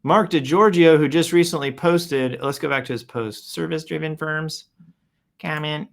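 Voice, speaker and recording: A man's voice: fairly high pitch, normal speed, creaky. A man hosting a show, casually reading posts aloud and improvising commentary with playful announcer flourishes and wry asides.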